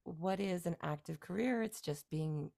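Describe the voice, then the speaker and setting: A woman from Canada in her forties, a musician guest talking through her career in a relaxed, rambling way with fillers and restarts. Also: lowish voice, measured pace, creaky. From Canada, near Toronto. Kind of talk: casual interview conversation.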